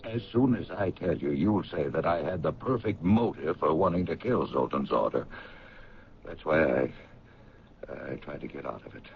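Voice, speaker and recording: A deep-voiced evasive businessman, lively pitch, dull old radio sound. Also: moderate pace, slight background noise. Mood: confessing. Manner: evasive, hesitant, nervous laughs. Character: easygoing, evasive male paint-company businessman. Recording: dull lo-fi 1970s radio play.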